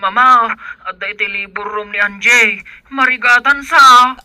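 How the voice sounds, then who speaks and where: A woman's voice: a little high, a little slow, sharp tone, dry recording. A woman playing an anxious, devoted young husband and expectant father in an Ilocano radio drama, nervous and exclamatory. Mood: urgent.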